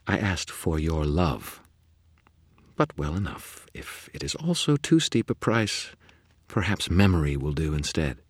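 A man's voice: low-pitched, very animated, measured pace, breathy edge, no echo, clean studio sound, heavily acted. A man voicing a sly, seductive female fairy who purrs and bargains with teasing whispers. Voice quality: purring, teasing, whispery at times. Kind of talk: audiobook character voice.

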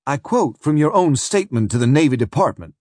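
Hostile male prosecuting counsel, insistent and accusing, pitched high, husky, voiced by a male narrator. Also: big pitch swings, a little fast, darkish tone, dry recording. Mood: pressing.

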